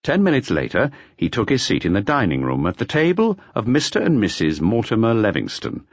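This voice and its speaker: A man's voice: on the low side, huge pitch swings, a little fast, no echo, muffled recording. A man reading a detective novel aloud as a scripted audiobook narration.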